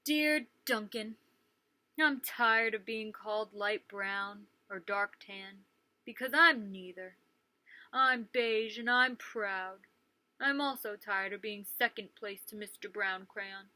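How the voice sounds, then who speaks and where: A proud, aggrieved picture book crayon, played by a woman, feeling second best and glum about it. The words come drawn out in a bright voice, recorded dry. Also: mid-range voice, no echo, pitched below the performer's own voice, low-quality recording. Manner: slow, aggrieved. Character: proud but slighted, glum beige crayon. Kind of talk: children's picture book read-aloud.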